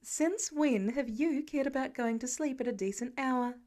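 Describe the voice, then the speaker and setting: A woman narrator voices a caring mother in a story reading. She is calm and measured, with a dry, wry edge. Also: sits high, natural voice.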